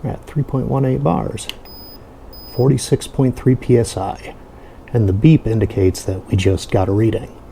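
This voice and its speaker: A man giving a casual hands-on product review, explaining things step by step in an unhurried way. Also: medium pitch, creaky, warm tone, a little hiss or hum.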